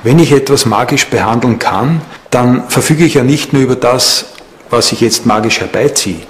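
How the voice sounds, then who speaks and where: A man's voice: medium pitch, normal speed. A man giving a spontaneous explanation as an interviewed expert. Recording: faint background noise.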